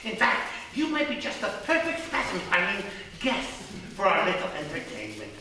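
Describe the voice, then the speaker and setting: An actor playing a sinister, courtly mad doctor with theatrical stammers, in a live horror radio spoof. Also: male voice, high voice, huge pitch swings, normal speed, pushed and bright, heavily acted. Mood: suavely sinister. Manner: theatrical, hesitant stammers and slips. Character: gleefully sinister male mad doctor, courtly castle host. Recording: roomy theatre stage, distant mic, noisy.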